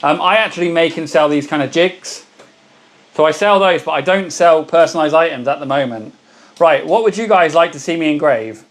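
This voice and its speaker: Hoarse man hosting a live stream. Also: a little high, brisk. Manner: chatty, greets viewers by name. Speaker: livestream host. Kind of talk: casual live-stream chat.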